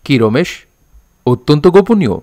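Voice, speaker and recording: A man's voice: fairly high pitch, very animated, moderate pace, darkish tone, no echo. A man playing a stern young man who confronts his friend with sarcastic, insistent questioning in a Bengali audio drama. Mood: sarcastic, probing.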